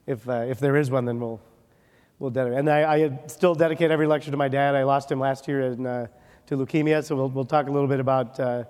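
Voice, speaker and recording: A man from Wisconsin giving a joking, fast-moving medical lecture at a conference, often checking in with "okay?". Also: medium pitch, steady tone, a little mellow. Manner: joking, rapid, ends points with "okay?". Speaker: dermatologist lecturer. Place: conference hall. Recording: reverberant conference hall.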